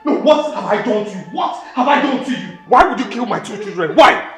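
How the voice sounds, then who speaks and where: A man playing a grieving father who shouts and stammers in fury at his wife. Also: extremely high pitch, expressive, brisk. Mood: furious. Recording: echoey room with music.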